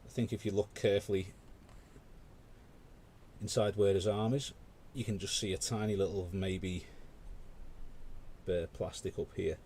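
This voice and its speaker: A man's voice: sits low, fairly even intonation, moderate pace, long pauses, dark tone, slight background noise. A British man hosting a paint-along stream, chatting casually with rambling asides. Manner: rambling, conversational, full of asides. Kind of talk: casual chat while painting.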